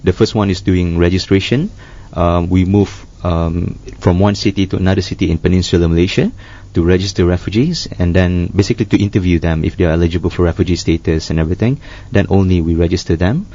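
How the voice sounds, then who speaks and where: A man speaking as a humanitarian worker guest in a panel discussion, halting and full of restarts. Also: sits low, steady tone, dark tone. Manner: halting, many restarts and fillers. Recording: dull lo-fi studio sound.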